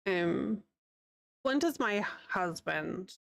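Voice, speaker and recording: Woman, New Zealand accent, streamer, rambling casual chat, gravelly, dry close sound. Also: medium pitch, expressive, extremely slow, no echo. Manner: chatty, rambling, laughs often. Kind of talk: casual chat with live chat while crocheting. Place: home, living in the United States.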